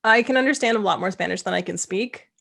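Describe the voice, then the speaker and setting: Chatty woman host for a relaxed costume-channel chat, mid-pitched voice. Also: fast talker, creaky. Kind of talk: casual video-call chat.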